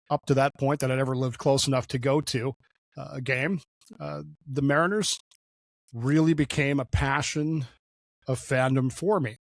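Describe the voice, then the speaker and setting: Older male host, mid-pitched, rambling conversational monologue, dry studio sound. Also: no echo. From Oklahoma, raised in Denver. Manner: conversational, digressive, frequent fillers. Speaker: show host. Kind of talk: solo opinion monologue.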